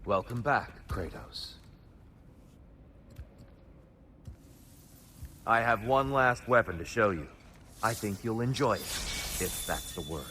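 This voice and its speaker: An actor playing a wise, composed male mentor in a video game, speaking in a measured, philosophical way. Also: on the low side, pushed and bright, a little hiss or hum. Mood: reflective. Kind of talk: dramatic video game acting. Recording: orchestral music behind.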